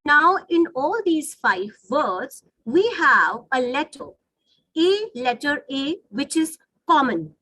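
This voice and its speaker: A woman's voice: high voice, very animated, takes it slowly, sharp tone, no room sound, poor audio. A woman with an Indian accent, a native Hindi speaker, teaching an online lesson in a didactic way, rephrasing points and checking in with her listeners. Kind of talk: online lesson, explaining to students. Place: online video call.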